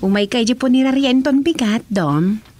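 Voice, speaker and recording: An actress playing a brisk, suspicious, scolding woman with an Ilocano accent in a comedy radio drama. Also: on the high side, over music, natural voice. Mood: businesslike.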